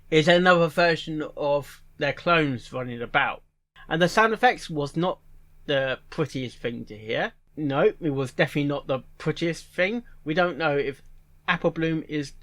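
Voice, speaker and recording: A man giving casual reaction commentary in a halting way, repeating phrases and musing aloud in questions. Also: fairly high pitch, a bit bright. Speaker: reaction video host.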